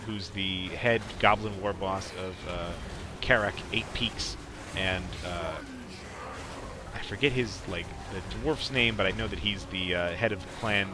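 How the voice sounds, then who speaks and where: A man's voice: low-pitched, measured pace. A man casually commentating on gameplay as a podcast host, in a rambling, hesitant way. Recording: clearly noisy background behind voice.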